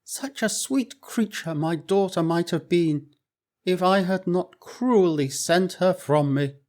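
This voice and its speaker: Man playing a grieving king, sorrowful, earnest, slow speech. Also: high voice, darkish tone. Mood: regretful. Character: grieving, remorseful older king. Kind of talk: storybook reading.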